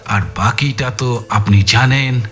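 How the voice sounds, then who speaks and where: An actor playing an exhausted, frustrated police detective in an audio thriller, with a halting, emphatic way of telling things. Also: male voice, mid-range voice, fairly bright tone, muffled recording, soft background music, natural voice. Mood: intent, explaining. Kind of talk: dramatized audio thriller acting.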